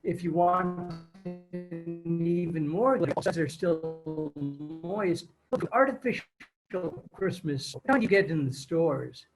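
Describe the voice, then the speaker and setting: A man's voice: a little high, takes it slowly, over music, low-quality recording. A man playing a folksy, enthusiastic announcer reading an old-time radio advertisement in a chatty, gushing way. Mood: cheery enthusiasm. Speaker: commercial announcer.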